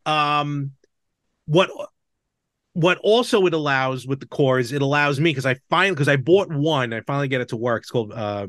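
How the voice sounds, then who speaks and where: A man hosts a casual podcast with an enthusiastic, conversational delivery. His voice sits at a middling pitch. The sound is dry, with very little room in it. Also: fairly bright tone, dry recording. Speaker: podcast host. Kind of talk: casual podcast chat about retro games.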